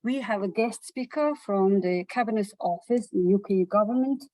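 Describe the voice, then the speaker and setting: Mellow woman introducing a guest. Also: mid-range voice, moderate pace, no room sound, poor audio. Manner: brief, slightly hesitant introduction. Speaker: careers advisor and host. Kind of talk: introducing a speaker.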